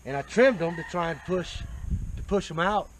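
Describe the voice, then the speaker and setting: Higher-voiced American man explaining outdoors. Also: normal speed, noisy background. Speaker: homesteader showing his farm. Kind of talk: casual explanatory chat. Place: outdoors in a farm garden. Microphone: picked up by a nearby handheld action camera.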